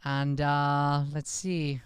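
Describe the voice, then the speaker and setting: A man co-hosts an improv comedy show in a put-on Irish accent. He has a fairly high, crisp voice. The banter is casual and recorded close and dry. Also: flat delivery, extremely slow, no echo. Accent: put-on stage Irish. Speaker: improv performer, co-host. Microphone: close podcast microphone.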